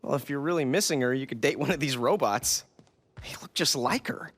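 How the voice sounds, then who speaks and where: A man's voice: mid-range voice, a little fast, fairly bright tone, lightly acted. A man playing an eager, cheeky young human hero in a video game, earnest and teasing. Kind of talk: video game cutscene acting.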